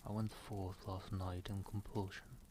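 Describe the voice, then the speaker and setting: Man reading as a meek, humbled miser, low-pitched. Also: flat delivery, a little slow, background noise. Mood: submissive. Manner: submissive, meek. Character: humbled, timid miser addressing a spirit. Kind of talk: hushed storybook reading.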